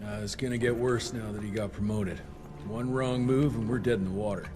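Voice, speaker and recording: A man acting a gruff, foul-mouthed cowboy agent in a video game cutscene. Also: on the low side, fairly even intonation, fairly quick, music in the background, lightly acted. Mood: terse, impatient.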